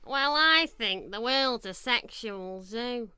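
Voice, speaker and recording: A woman voices a flirtatious little female insect who loves freely. The voice is very high and bright, drifting along slowly and dreamily, in radio comedy style. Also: lively intonation, smooth, no echo, heavily acted. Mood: dreamy, flirtatious. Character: free-loving, flirtatious female ladybird insect, mother. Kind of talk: comedy radio play acting.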